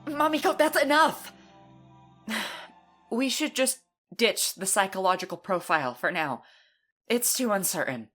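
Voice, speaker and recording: A woman's voice: mid-range voice, animated, unhurried, fairly bright tone, faint music behind. A woman playing a firm, practical young woman in an audio drama, speaking in a businesslike way. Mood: exasperated.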